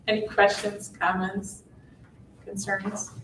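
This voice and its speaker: A woman chairing a school board meeting, brisk and procedural. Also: mid-range voice, level tone, a bit bright, a little hiss or hum. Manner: brisk, procedural, laughs off slips. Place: large meeting room with long tables. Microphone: table mic from far off. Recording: echoey boardroom from far off.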